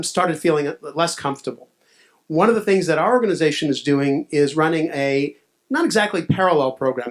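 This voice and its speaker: A man from a nonprofit answering audience questions on a panel, measured and explanatory with frequent fillers. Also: fairly high pitch. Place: live event room at a cafe screening.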